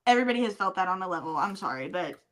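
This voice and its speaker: A woman's voice: on the low side, expressive, rapid-fire, a bit bright. A woman co-hosting a podcast in casual chat, speaking emphatically in slang and repeating phrases for effect. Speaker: podcast co-host.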